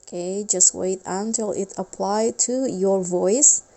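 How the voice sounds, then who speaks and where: A woman's voice: mid-range voice, takes it slowly, warm tone. A woman giving a casual step-by-step tutorial narration for a video app. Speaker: tutorial host.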